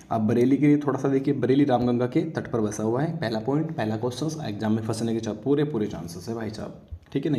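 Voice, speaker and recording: A man's voice: mid-range voice, mellow. A man lecturing as an exam-coaching teacher, going point by point in an instructive, familiar way with frequent check-in tags.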